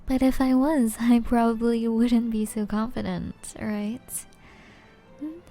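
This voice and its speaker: Casting: actress as seductive aristocratic vampire woman for ASMR roleplay; mellow, unhurried, breathy and refined, music underneath. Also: fairly high pitch, fairly even intonation. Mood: refined, amused. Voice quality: soft, breathy, often whispery. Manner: refined, formal, teasing, slow and deliberate.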